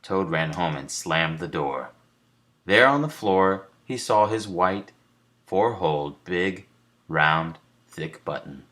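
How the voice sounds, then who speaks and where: A man narrating a children's bedtime story aloud in a deliberate, simple read-aloud delivery. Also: low voice, lively intonation, a bit bright.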